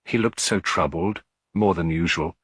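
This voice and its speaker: A man's voice: sits low, moderate pace, fairly bright tone, husky, no echo, clean studio sound. A man voicing a timid, anxious young housemaid in an audiobook mystery, tremulous, hesitant and deferential. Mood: nervous confiding.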